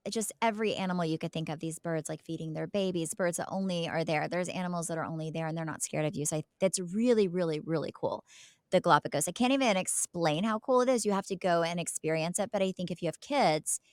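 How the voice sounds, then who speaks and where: Female podcast co-host, lowish register, dry sound. Casual, chatty, emphatic delivery. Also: fast, fairly bright tone, dry recording. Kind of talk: casual chat.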